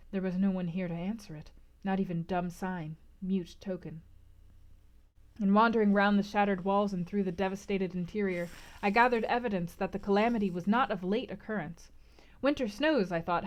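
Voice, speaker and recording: A woman reading literary prose aloud as a book club host, with theatrical, emphatic phrasing. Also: medium pitch. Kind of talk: dramatic audiobook-style literary narration.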